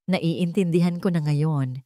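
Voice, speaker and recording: A woman's voice: deep voice, measured pace, warm tone, no echo, studio quality. A woman reading a cold, hurt CEO man. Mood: cold anger. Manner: cold and harsh. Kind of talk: storybook audio drama.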